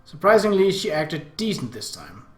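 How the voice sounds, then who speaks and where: A man reading story text aloud as a let's play host, in an expressive narrating style. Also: fairly high pitch, normal speed. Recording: echoey room.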